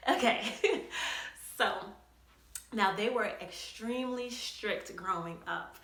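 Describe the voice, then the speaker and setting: A young woman telling a story on her vlog in an animated way, often laughing as she talks. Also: medium pitch, takes it slowly, echoey room. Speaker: vlogger.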